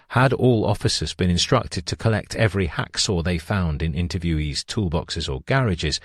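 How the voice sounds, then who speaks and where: Deep-voiced man narrating an audiobook. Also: no room sound, clean studio sound. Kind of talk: scripted nonfiction narration.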